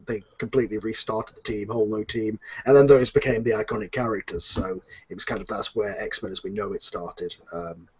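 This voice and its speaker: Man hosting in dull lo-fi audio. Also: medium pitch. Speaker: host and series creator. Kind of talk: casual panel Q&A hosting. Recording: dull lo-fi online call audio.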